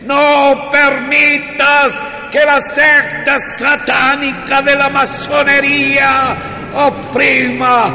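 An actor playing a fervent religious zealot in a radio drama, praying in a solemn, imploring, drawn-out way. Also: high voice, flat delivery, gravelly, a little hiss or hum, exaggerated voice. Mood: fervent, wrathful. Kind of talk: radio drama prayer, slow chanting. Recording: echoey hall reverb under loud music.

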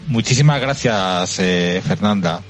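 A man from hosting a wine podcast, chatting casually with frequent pauses and fillers. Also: sits low, faint music behind. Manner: friendly, hesitant with many fillers. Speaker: podcast host.

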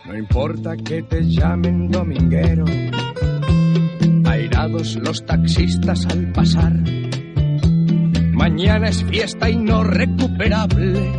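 Male comic singer, fairly high and unhurried, loud guitar music throughout. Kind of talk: humorous musical comedy singing.

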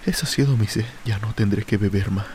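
An actor playing a lonely, tormented drunk sinking into fear and madness in a brooding, theatrical radio drama monologue. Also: male voice, lowish voice, brisk, darkish tone, a little hiss or hum, lightly acted. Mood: eerie calm. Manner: brooding, theatrical first-person soliloquy.

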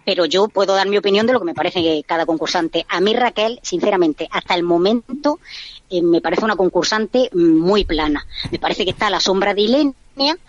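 A woman's voice: mid-range voice, brisk, no echo, dull audio. A woman giving casual, opinionated commentary as a radio guest, talkative and blunt, often repeating herself, over a remote call. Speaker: radio guest, reality show fan commentator.

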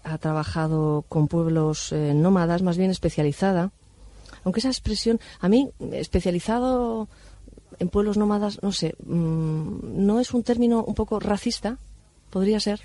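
A woman hosting a night radio show, asking gentle probing questions. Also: medium pitch, normal speed, dry recording. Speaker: radio host.

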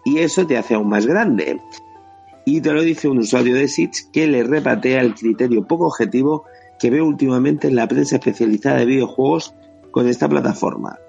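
A man's voice: mid-range voice, moderate pace, creaky, darkish tone. A man with a Spanish accent from Spain hosting a podcast, chatting casually and reading out listener comments. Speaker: podcast host. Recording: dull lo-fi sound, faint music bed.

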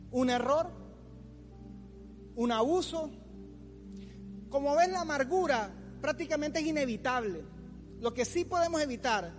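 A man in his forties preaching an informal youth sermon as a pastor, in a colloquial, teasing manner. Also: very high-pitched. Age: 40s. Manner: colloquial, teasing, acts out imagined complainers. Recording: long hall reverb, music underneath.

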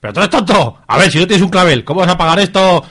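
Male actor as impulsive lover, comic radio serial; high-pitched, forceful, blunt and brash. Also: lively intonation, quick pace. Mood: brash, scheming. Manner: melodramatic, blunt. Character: brash, hot-headed young lover, crude and impulsive. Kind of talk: amateur comic radio soap acting.

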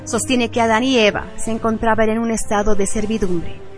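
A woman reading a scripted radio narration in a solemn, deliberate manner. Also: fairly high pitch, steady tone. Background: music.

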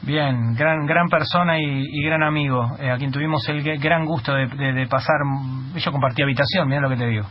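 A man with a Rioplatense Argentine accent and a somewhat high voice hosts a radio interview. He often stumbles and repeats words as he restarts his sentences. The sound is muffled and lo-fi, with faint noise underneath. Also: fairly even intonation, brisk, hardly any pauses. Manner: hesitant, many repeated restarts. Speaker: radio host.

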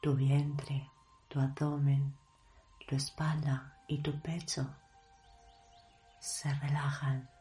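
A woman leading a guided meditation in a hushed, measured delivery, leaving long pauses between short phrases. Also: low-pitched, flat delivery, soft background music. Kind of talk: guided meditation, slow soothing narration.

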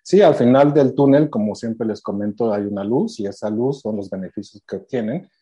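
A man's voice: lowish voice, normal speed, mellow, slightly rough. A man from Mexico with a Mexican Spanish accent, explaining immigration as an interview guest. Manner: explanatory, many fillers and restarts. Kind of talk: conversational explanation.

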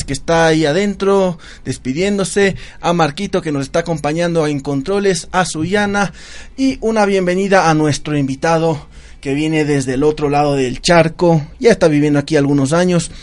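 A man's voice: fairly high pitch, normal speed. A man hosting a radio show, greeting listeners at length with enthusiasm.

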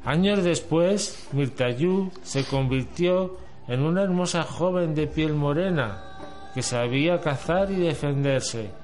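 Male narrator, scripted storytelling, amateur radio play, slightly high voice, soft music behind. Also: takes it slowly.

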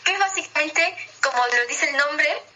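A woman's voice: sits high, moderate pace, heavy background noise. A young woman with an Argentine accent, chatting informally as a radio co-host, chatty and given to exaggeration. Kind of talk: casual chat, film and series recommendations.

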